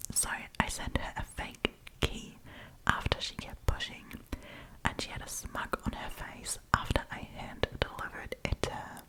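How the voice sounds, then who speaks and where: A woman hosting an ASMR storytime, reading and chatting in a soft, breathy whisper with a gossipy, friendly manner. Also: rough recording. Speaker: host of a storytime channel.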